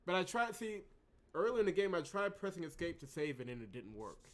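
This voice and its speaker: A man's voice: sits high, animated, a little fast. Exasperated man doing game commentary. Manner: exasperated, emphatic, swings from outbursts to muttering. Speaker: gaming channel host. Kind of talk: unscripted let's play commentary.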